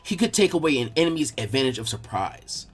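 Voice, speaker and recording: A man's voice: mid-range voice, sing-song, brisk, husky. A man reading fan fiction aloud as a scripted story narration.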